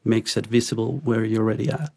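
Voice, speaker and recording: Low gravelly man from Ecuador. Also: fast talker. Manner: relaxed, hesitant with many ums and restarts. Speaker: podcast guest. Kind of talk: casual interview conversation.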